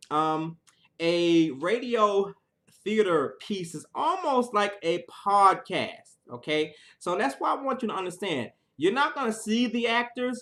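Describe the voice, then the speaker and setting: A man with a fairly high, very bright and forceful voice speaks as a theater teacher welcoming an audience. His delivery is informal and enthusiastic, and he often checks that listeners are following along. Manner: enthusiastic, conversational, often checks in with "right?" and "okay?". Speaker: high school theater teacher introducing a show. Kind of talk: informal spoken introduction to an audience.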